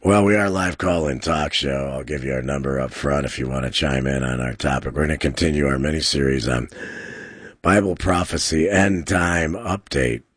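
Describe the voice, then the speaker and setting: A man hosting a live call-in radio show from a studio, trading dad jokes and talking faith and prophecy in a relaxed, jokey way. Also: extremely low pitch, normal speed, no echo. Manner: jokey, chuckles at his own dad jokes. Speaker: radio talk show host. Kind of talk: casual radio banter and sermon-like talk.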